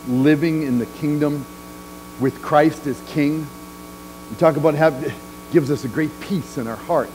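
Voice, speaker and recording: A man's voice: mid-range voice, low-quality recording. A middle-aged man, a pastor, preaching a sermon in a large church sanctuary, walking and gesturing as he talks in a conversational way, often asking "right?". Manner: walks the chancel gesturing while speaking.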